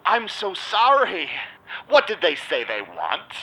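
A smug vampire club owner in an audio drama. His voice is high for a man and swoops theatrically. He takes his time, savoring his own schemes with suave calm. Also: slow, slightly rough, full character voice, low-quality recording. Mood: falsely sympathetic. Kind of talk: audio drama narration and dialogue.

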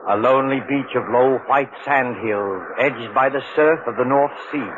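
A man narrating a 1940s radio drama in a measured, atmospheric way. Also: medium pitch, noisy background, lightly acted. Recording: muffled old radio recording with music.

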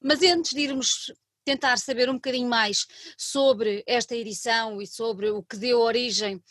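Portuguese woman host, chatty, lightly high voice, dry close mic. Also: normal speed, dry recording. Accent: European Portuguese. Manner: chatty, warm laughter, many restarts. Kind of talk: radio interview.

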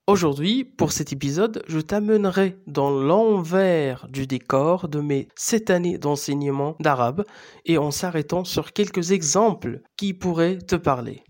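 A man in his thirties, a native Arabic speaker, hosting a podcast with measured, scripted narration. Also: on the high side, a little mellow. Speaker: podcast host and Arabic teacher.

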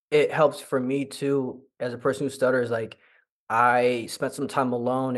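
A young man being interviewed who speaks with a stutter, halting often and filling pauses with many fillers. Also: medium pitch. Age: young adult. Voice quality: stutters with repetitions. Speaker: interview guest. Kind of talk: conversational interview.

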